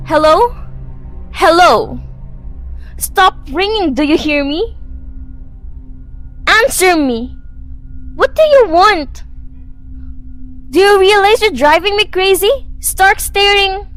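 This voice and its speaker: A woman's voice: very high voice, animated, takes it slowly, long pauses, fairly bright tone, faint music behind, full character voice, rough recording. A young woman acting in a suspense radio drama as a nervous, demanding, self-pitying invalid wife, with an imperious, melodramatic manner. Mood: frantic, agitated. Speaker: student actress.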